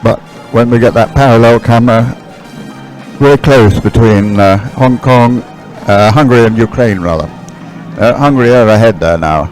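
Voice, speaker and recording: A man doing live sports commentary on a race, describing things in a halting way and correcting himself as he goes. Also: medium pitch, darkish tone, faint music behind, rough recording.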